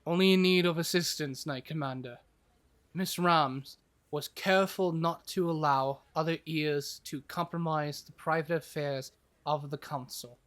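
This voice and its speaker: A man acting a measured, cryptic doctor in a fantasy audio drama, with deliberate, formal speech. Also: on the high side, fairly even intonation, fairly bright tone. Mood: guarded, formal.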